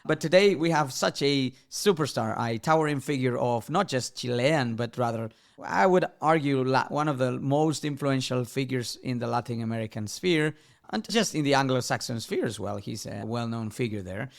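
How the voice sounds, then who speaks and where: A man's voice: mid-range voice, moderate pace, creaky. A man hosting a podcast, introducing and questioning in a conversational way. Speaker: podcast host.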